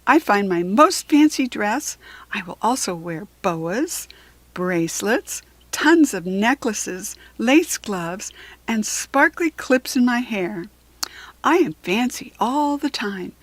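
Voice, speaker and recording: Slow, deliberate American woman storyteller. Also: mid-range voice, no room sound. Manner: deliberate, expressive, clearly phrased for young learners. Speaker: children's book reader. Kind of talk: read-aloud storytelling for children.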